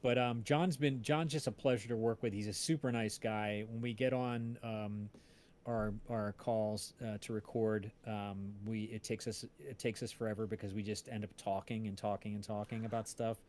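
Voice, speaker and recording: A man from New Jersey with an American accent, chatting casually and haltingly as a host, rambling as he searches for words. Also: on the low side, steady tone, darkish tone, a little hiss or hum. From New Jersey, United States. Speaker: podcast livestream host and casting director. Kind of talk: casual chat.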